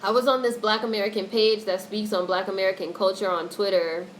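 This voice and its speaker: A woman's voice: medium pitch, fairly even intonation, brisk. Blunt woman livestreamer, noisy audio. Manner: blunt, opinionated, swears freely. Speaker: livestream host reading and answering chat comments. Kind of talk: casual livestream commentary.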